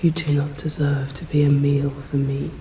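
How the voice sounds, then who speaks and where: A woman with an English accent voicing a stern, hungry yet protective female vampire in an ASMR roleplay, whispering slowly in formal, old-fashioned phrasing. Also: low-pitched, little pitch movement, warm tone, roomy sound, a little hiss or hum, natural voice. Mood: hushed, tender.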